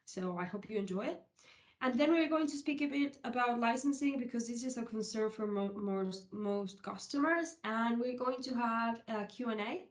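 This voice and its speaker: A woman's voice: on the high side, moderate pace. Young Uruguayan woman presenting, Spanish-accented. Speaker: presenter, HR technology consultant. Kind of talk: informal online conference presentation. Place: online video call session.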